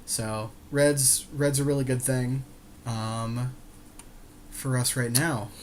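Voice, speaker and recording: A man's voice: mid-range voice, fairly even intonation, takes it slowly, slight background noise. A man co-hosting a casual card game commentary, agreeably backing up his partner's choices. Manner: agreeable, backing up the other player. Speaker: podcast co-host advising on card picks.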